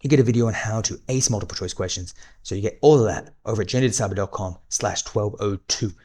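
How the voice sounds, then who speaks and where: A man with a fairly low voice talks at a brisk clip as he teaches a recorded certification course. He sounds chatty and encouraging, calls his listeners guys, and his voice is starting to give out. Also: warm tone. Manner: quick, chatty and encouraging, addresses viewers as guys. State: losing his voice. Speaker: online course instructor. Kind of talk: recorded exam-prep lesson.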